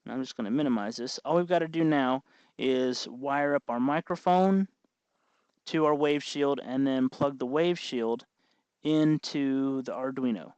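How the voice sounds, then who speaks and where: A man hosting an informal hands-on electronics tutorial, explaining step by step in a halting, folksy way. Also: mid-range voice, darkish tone, smooth, no echo, highs cut off. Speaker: tutorial host.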